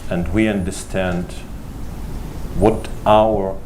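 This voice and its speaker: Very slow, deep-voiced halting man. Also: fairly even intonation, a little mellow. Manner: halting, many pauses and fillers. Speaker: church leader, panelist. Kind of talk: panel remarks. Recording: noticeable background noise.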